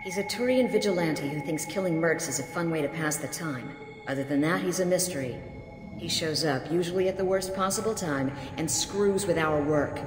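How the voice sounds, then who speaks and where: Casting: a woman as a frank, fed-up merc lieutenant with a low voice, echoey game mix. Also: moderate pace, over music, close to an everyday voice. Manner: frank, resentful. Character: female mercenary lieutenant, bitter, weary. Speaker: mercenary officer.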